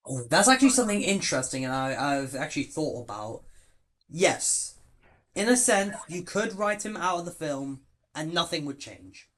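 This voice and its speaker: A young man hosting a casual podcast chat, excitable and swearing, often stumbling over his words. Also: on the high side, moderate pace. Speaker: podcast host.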